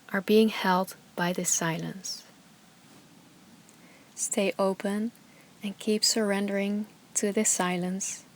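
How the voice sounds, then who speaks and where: Slow, soothing woman guiding meditation. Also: medium pitch. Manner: slow, soothing instructions with long pauses. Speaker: yoga and meditation teacher. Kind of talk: guided meditation.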